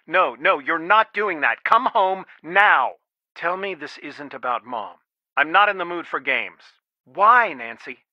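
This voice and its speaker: A man's voice: fairly high pitch, bright, forceful tone, no room sound, highs cut off. A man voicing a stern, worried middle-aged father and lawyer in a video game, earnest, firm and protective. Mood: stern, pleading. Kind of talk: video game voice acting, one side of phone conversations.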